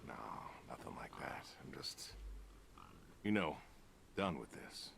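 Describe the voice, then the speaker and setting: An actor playing a weary, stubborn old American pig farmer in a video game, speaking in a subdued, laconic, plain way. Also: male voice, expressive, slow pace, a bit bright, slight background noise, exaggerated voice. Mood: weary, resigned. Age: older. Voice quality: low, gravelly. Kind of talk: naturalistic video game dialogue.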